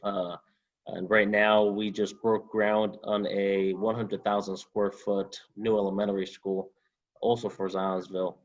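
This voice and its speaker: A young man from Honduras, a former student, answering interview questions over a video call in a halting way with many fillers. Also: on the low side, flat delivery, dull audio. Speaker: alumnus guest.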